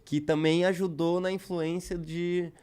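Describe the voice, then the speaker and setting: A man's voice: sits high, flat delivery, a little slow, a little mellow, clear, resonant tone. Slangy Brazilian man telling stories. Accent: Brazilian Portuguese. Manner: loose, slangy storytelling with many fillers. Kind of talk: casual podcast chat.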